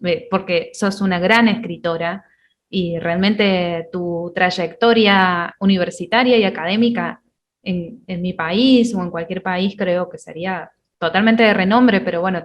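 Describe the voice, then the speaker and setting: A woman asks her questions in a fairly low voice, pausing with fillers as she searches for her words. Her sound is dull and lo-fi, with the top end muffled. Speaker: interviewer. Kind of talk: interview questions.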